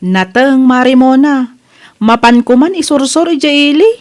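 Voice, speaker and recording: A woman's voice: high voice, moderate pace, darkish tone, close to an everyday voice. A woman playing a modest, cautious village housewife, polite and hesitant, with a Filipino Ilocano accent in a radio comedy drama. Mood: friendly, curious.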